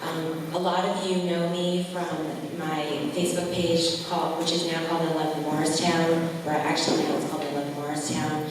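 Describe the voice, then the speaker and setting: A woman's voice: low voice, flat delivery, normal speed, hardly any pauses. A middle-aged woman making informal, off-the-cuff closing remarks as a school board candidate at a public forum. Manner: informal, loosely structured speaking. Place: large echoey room at a public forum. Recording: echoey hall from far off, clear noise.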